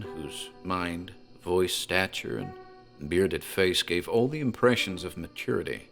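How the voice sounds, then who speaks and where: A man playing a talkative rural tavern landlord who recounts a local horror tale in a measured, ominous manner. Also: low-pitched, vocal fry, full character voice. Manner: measured, ominous, literary phrasing. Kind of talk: dramatic horror storytelling. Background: music.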